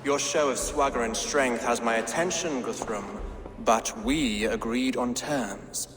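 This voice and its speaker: Game casting: man as controlled, severe Saxon king, mid-range voice, long reverb, faint rumble. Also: unhurried, natural voice. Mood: stern, restrained. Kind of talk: video game cutscene acting.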